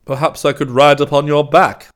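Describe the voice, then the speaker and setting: A man's voice: fairly high pitch, level tone, moderate pace, a bit bright, pitched above the performer's own voice, natural voice. A man voicing a merry, eager old toy maker in a hearty, cheerful way for a storybook reading.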